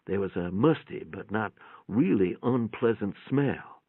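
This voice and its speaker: A man's voice: on the low side, animated, unhurried, breathy edge, no echo, phone-quality sound. A man giving a folksy, plainspoken dramatic reading of a first-person story, with a radio-like sound. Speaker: narrator, reader. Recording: band-limited, radio-like sound.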